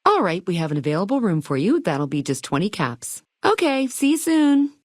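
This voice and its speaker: A woman's voice: medium pitch, sing-song, moderate pace, dry recording, studio quality. A woman playing a perky, eager and quirky hotel keeper in a video game, chatty and full of chirpy salesmanship and playful old-fashioned slang. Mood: chirpy, welcoming. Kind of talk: video game dialogue lines.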